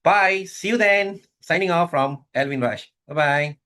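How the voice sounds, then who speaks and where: A man from Malaysia, a community leader, giving excited congratulatory remarks at a launch event with fillers and restarts. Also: fairly high pitch, a little slow, clean tone. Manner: enthusiastic, repeats himself with fillers.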